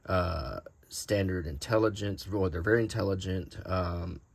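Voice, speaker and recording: Low-pitched man in casual show-and-tell. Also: measured pace, creaky, husky, dry recording. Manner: rambling, easygoing, thinking aloud. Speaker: host of a hobby collecting channel.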